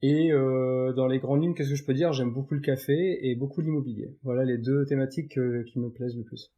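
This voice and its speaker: Un homme invité d'un podcast, qui raconte son parcours sur un ton de conversation détendue, avec beaucoup d'hésitations et de reprises. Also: male voice, medium pitch, fairly even intonation, brisk, highs cut off. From Paris suburbs, France. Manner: chatty, many fillers and restarts. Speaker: podcast guest. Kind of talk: casual interview chat.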